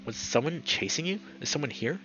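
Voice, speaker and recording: A man voicing a burly, protective male wolf in a visual novel, by turns commanding and gently coaxing. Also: on the low side, expressive, normal speed, gravelly, muffled recording, faint music behind. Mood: gentle, coaxing.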